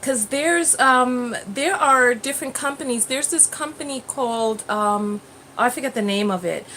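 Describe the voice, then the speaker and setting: High bright woman host, animated and laughing, thirties. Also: moderate pace, a little hiss or hum. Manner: animated, laughs easily, restarts words. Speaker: host of a live call-in show. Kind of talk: casual chat with a caller.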